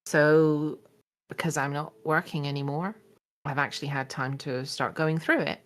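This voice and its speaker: A woman's voice: sits low, fairly even intonation, normal speed. A woman, a guest on a live stream, chatting casually and teasing with a dry laugh.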